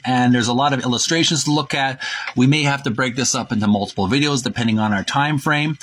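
A man hosting a casual narrated show-and-tell, partly reading aloud, in a halting way with repeats and self-corrections. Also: mid-range voice, a bit bright, slight background noise. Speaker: video host.

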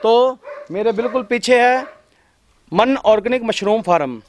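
A man's voice: very high voice, unhurried. A man, hosting a casual travel vlog on a farm and talking to viewers in an upbeat, chatty way. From northwest India. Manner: chatty, upbeat, keeps addressing viewers as guys. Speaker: travel vlogger host. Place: outdoors on a rural farm.

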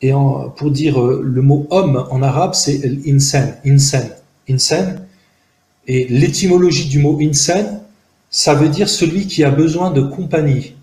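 A man in his forties, originally from Algeria with Arabic as his first language, speaking as an interview guest, earnest and analytical, pausing often with fillers and restarts, talking outdoors into a close camera. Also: a little high, darkish tone, reverberant, rough recording. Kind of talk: reflective testimony in an interview. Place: outdoors in a park-like garden by buildings.

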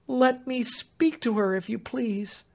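A man voicing a distraught, loyal female servant who pleads in a high, breathless voice. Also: slow pace, vocal fry, heavily acted. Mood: pleading.

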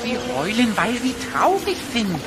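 An actor playing a cheeky, quick-witted cartoon rabbit in a children's audio play, animated and cocky, with music behind. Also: medium pitch. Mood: bossy.

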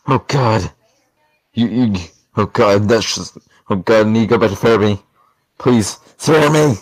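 An unhinged cartoon echidna knight played by a man, husky and dry-sounding. He mutters and pleads in nervous broken bursts, whiny and panicky, as in an improvised fan dub. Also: fairly low pitch, unhurried, no room sound. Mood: whiny despair.

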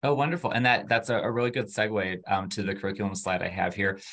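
A man's voice: fairly low pitch, flat delivery, quick pace, a bit bright. A man hosting a university webinar over a video call, upbeat with frequent fillers. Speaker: webinar host, admissions. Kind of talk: webinar presentation.

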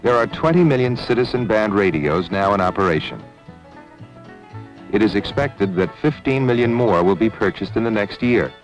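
Deep-voiced documentary narrator, a man. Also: animated, poor audio. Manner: measured, formal delivery. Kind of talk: scripted newsreel-style narration. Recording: 1970s film soundtrack with music. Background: background music.

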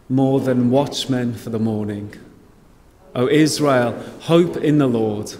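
A man in his thirties leading prayer and dismissing the congregation from a stand mic in a church hall, relaxed and informal. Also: medium pitch. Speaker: church leader. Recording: long hall reverb, faint noise.

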